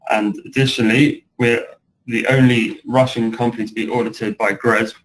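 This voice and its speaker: A British man of around thirty giving a measured webinar presentation as a guest speaker. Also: on the low side, fairly bright tone, a little hiss or hum, rough recording. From United Kingdom. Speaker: guest speaker, project director.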